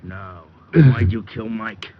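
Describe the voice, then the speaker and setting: A man's voice: on the low side, slow pace, soft background music. An actor playing a big, simple, loyal tough guy with a hot temper, blunt and slangy, in an old radio crime drama. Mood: menacing. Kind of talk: 1940s-50s radio drama acting. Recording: muffled old radio recording.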